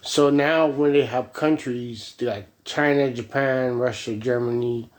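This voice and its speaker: An American man in his forties rambling casually in a halting, slangy, meandering way. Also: mid-range voice, fairly even intonation. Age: 40s. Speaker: vlogger.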